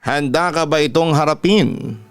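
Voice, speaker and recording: Male Filipino radio host for story narration, clean smooth tone, dry close sound, soft music bed. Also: on the high side, measured pace, no room sound. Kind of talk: scripted first-person story narration. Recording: music playing behind the voice. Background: background music.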